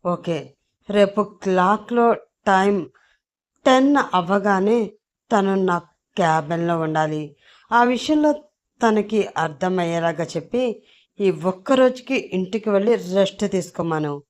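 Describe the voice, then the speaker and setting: A woman's voice: medium pitch, a little slow, pauses often, natural voice. A woman voicing a furious arrogant male CEO. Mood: commanding. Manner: cold, threatening orders.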